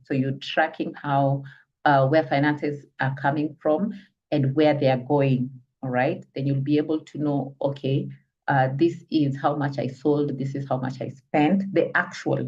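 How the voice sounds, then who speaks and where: A business trainer with a Kenyan accent gives an online lecture, explaining step by step and often asking listeners if they follow. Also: medium pitch. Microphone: video call. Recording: distant, muffled call audio.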